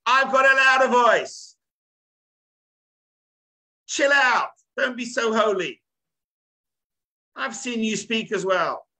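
A man voicing a brash, loud-voiced man who talks back defiantly, heard through a webcam on a video call. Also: extremely high pitch, slow pace, long pauses, pitched above the performer's own voice, exaggerated voice, poor audio. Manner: defiant, mocking.

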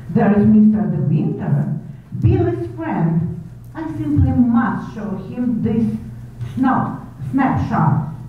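Woman acting a gushing society snob, drawn-out and swooping. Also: a little high. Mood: fawning. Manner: gushing, imperious, theatrical. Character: gushing, bossy, snobbish older society lady. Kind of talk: 1930s radio drama acting. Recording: echoey hall from far off, muffled, hiss.

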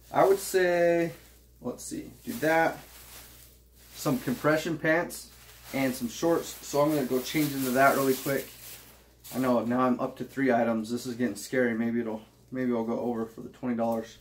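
A man's voice: medium pitch, moderate pace, slight background noise. A young man from Utah chatting casually to camera in a small home office, rambling with fillers and restarts as he shows gym clothes. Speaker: vlogger. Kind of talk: casual try-on haul chat.

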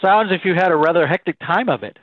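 A man's voice: high-pitched, fast talker, no echo. Man acting thin-sounding, curious American radio announcer. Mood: wry. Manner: inquisitive, courteous straight man. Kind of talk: old-time radio drama acting. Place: home room on a group video call. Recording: thin, phone-like video-call line.

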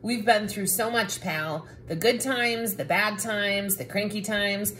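A woman's voice: mid-range voice, slow, a bit bright, slight background noise. A woman voicing a cranky, bossy talking picture book, full of dramatic exasperation, in a children's read-aloud. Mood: softening, friendly.

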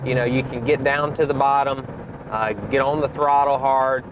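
A man's voice: mid-range voice, moderate pace. Young man talking while driving a car. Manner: relaxed, many fillers and restarts. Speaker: race car driver. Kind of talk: casual explanation while driving. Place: inside a car on a racetrack. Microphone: in-car camera. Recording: muffled car-interior audio with road noise.

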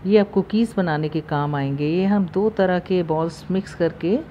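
A woman hosting a cooking channel, calmly talking through the steps of a recipe in her home kitchen, recorded on a phone. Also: fairly low pitch, moderate pace, highs cut off, a little hiss or hum. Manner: calm, instructive, repeats steps. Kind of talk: step-by-step recipe voice-over.

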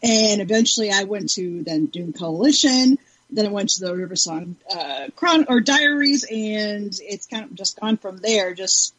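A woman's voice: mid-range voice, a little slow, a bit bright, slightly rough, muffled recording. A woman speaking as a panelist in a casual podcast chat.

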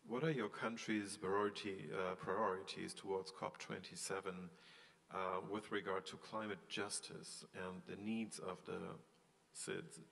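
A man's voice: sits low, fairly even intonation, a little slow, vocal fry, a bit bright. A man moderating a panel, posing a question hesitantly with many fillers. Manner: hesitant, many ums and uhs. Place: large conference hall. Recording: long hall reverb.

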